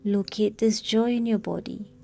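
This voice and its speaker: A woman leading a scripted guided meditation in a calm, soothing, unhurried manner with long pauses. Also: mid-range voice, vocal fry, faint music behind.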